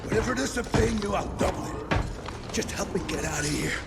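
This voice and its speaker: An actor playing a wounded, cornered corporate man in a video game, loud, desperate and belligerent. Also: high-pitched, expressive, quick pace, gravelly, loud music behind the voice. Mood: desperate pleading. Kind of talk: dramatic video game acting.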